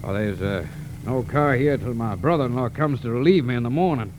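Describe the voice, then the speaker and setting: An actor playing a folksy, excitable gas station attendant with a rural American accent and rustic speech, in 1940s radio style. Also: male voice, medium pitch, lightly acted. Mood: hesitant, helpful. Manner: folksy, rustic, drawn-out hesitations. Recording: noisy old 1940s radio recording.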